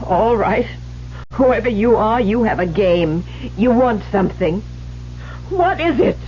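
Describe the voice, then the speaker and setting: An actress playing an imperious, easily flustered widowed writer in an old-time radio drama. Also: female voice, mid-range voice, lively intonation, measured pace, warm tone, slight background noise, lightly acted. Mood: frightened, defiant. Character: haughty, rattled widow who writes detective stories. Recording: dull, humming old radio broadcast.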